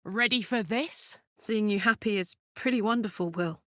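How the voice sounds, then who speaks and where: A woman's voice: medium pitch, normal speed, fairly bright tone, no room sound, lightly acted. A woman voicing a brash, fiery horned warrior woman in a video game, talking bluntly and informally like a soldier, recorded dry. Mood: warm, concerned. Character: brash, fiery female devil-horned warrior with an infernal engine heart. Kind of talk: video game character voice lines.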